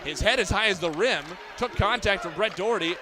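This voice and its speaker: Young American man calling games, higher-pitched voice. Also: a little fast, crowd noise. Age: young adult. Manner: crisp detailed descriptions, voice raised on big plays. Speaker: play-by-play sportscaster. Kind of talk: live sports play-by-play commentary. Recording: live game ambience, clear background noise. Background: sports venue sounds.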